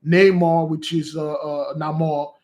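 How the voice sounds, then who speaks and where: A man's voice: on the high side, little pitch movement, measured pace, darkish tone. A man teaching in a loose, rambling monologue who repeats his points and calls his listeners beloved. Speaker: preacher and teacher.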